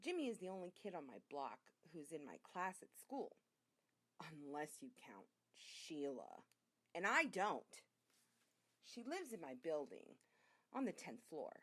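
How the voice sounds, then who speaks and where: A woman reading a children's story aloud as a narrator, in an expressive storytelling way. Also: sits low, a little slow, no echo. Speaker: reader.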